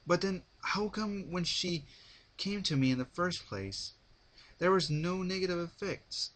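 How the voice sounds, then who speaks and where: A man's voice: high-pitched, animated, unhurried, fairly bright tone, a little hiss or hum, pitched above the performer's own voice. A man voicing the stubborn, impatient human hero of a fan fiction story, blunt and argumentative. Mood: annoyed.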